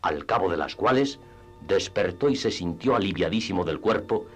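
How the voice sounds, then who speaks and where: A man's voice: sits low, animated, normal speed, breathy edge, soft background music. A man narrating a classic literary tale for radio theatre in a scripted storytelling style.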